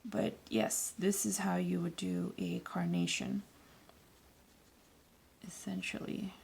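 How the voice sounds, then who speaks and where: Woman, raspy today, heavy vocal fry, unhurried, casual painting tutorial, talks while she paints. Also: on the low side, flat delivery, a little mellow, slight background noise. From Canada. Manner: loose, rambling, self-correcting instruction. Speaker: watercolour tutor.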